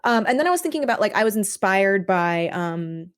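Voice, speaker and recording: A woman with a mid-range voice speaks as a creative guest in a casual podcast conversation. She explains storytelling in an animated, informal way, often slipping into little imagined reactions as she goes. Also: mid-range voice, fairly quick, clean tone. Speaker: guest, audio drama creator.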